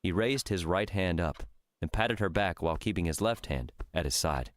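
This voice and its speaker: A man's voice: deep voice, lively intonation, normal speed, no echo. A man narrating a dramatic fiction audiobook from a script.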